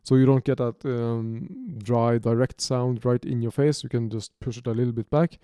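A man in his thirties from Norway with a Norwegian accent, giving an unscripted music software tutorial from his home studio, talking haltingly with many restarts and fillers. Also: medium pitch, smooth, no room sound. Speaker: music producer demonstrating software.

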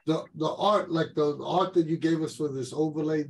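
Higher-pitched man hosting a casual interview. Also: moderate pace, a little hiss or hum. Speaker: interview host. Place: indoor video call.

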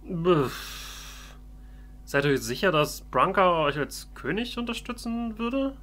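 A man's voice: sits high, very animated, slow, a bit bright. A man doing improvised in-character let's play commentary, with casual, hesitant phrasing. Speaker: let's player.

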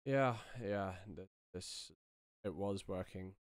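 A man's voice: low-pitched, slow pace, heavy background noise. An Australian man in his thirties talking casually and offhandedly as he works on a live stream, close to a desk microphone. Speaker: sound designer, live streamer. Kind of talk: casual commentary while working, answering chat. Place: small indoor room.

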